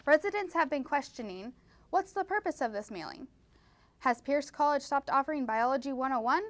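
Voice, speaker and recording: A woman's voice: high-pitched, expressive, fairly quick, a bit bright, no echo. A woman anchoring a scripted mock newscast, introducing reports in news-anchor style. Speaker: news anchor in a college's comedic promo newscast.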